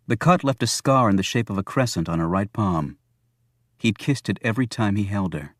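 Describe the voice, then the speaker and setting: Low-voiced male audiobook narrator, dry sound. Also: dry recording. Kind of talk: scripted narration of a thriller novel.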